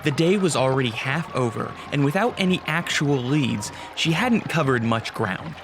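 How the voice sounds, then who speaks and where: A man's voice: medium pitch, expressive. Male radio drama narrator over music. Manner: measured, theatrical storytelling. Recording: clear music behind.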